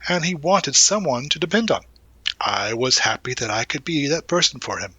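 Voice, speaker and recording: Guy playing a supportive young college dude, bright voice, super dry close sound, casual and teasing, intimate audio roleplay. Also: medium pitch, lively intonation, breathy edge, no echo. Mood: sincere, reflective. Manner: casual, reassuring, hesitant pauses and murmured asides. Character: supportive young college man, gently teasing, protective confidant. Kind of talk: intimate audio roleplay, one-sided conversation.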